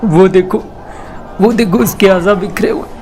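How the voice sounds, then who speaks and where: An earnest young student adventurer in a horror radio play, played by a man. His voice sits slightly high and he exclaims readily, with faint music underneath. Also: fairly even intonation, normal speed, darkish tone, a faint crowd, close to an everyday voice. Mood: frightened, pleading. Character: adventurous young male university student lost in haunted forest. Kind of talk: amateur Urdu audio drama acting.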